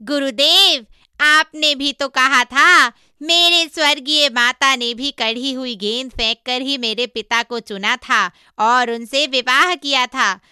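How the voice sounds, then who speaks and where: Clever monkey disciple done by a woman: high, piercingly bright, cajoling and cheeky, storybook character. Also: slow pace, clear, resonant tone, no room sound, studio quality, heavily acted. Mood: teasing. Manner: emphatic, cajoling. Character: cheeky, clever male monkey disciple, placating his master.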